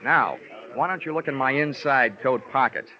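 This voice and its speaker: An actor playing a brisk, sardonic American insurance investigator who delivers dry, clipped quips in old-time radio detective style. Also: male voice, mid-range voice, lightly acted. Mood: exasperated, wry. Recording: dull vintage radio recording with music behind.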